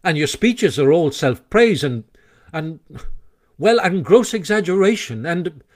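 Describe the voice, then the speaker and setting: A man's voice: on the high side, lively intonation, measured pace, pitched above the performer's own voice. A man voicing a male water rat who speaks firmly but kindly, earnest and a little apologetic.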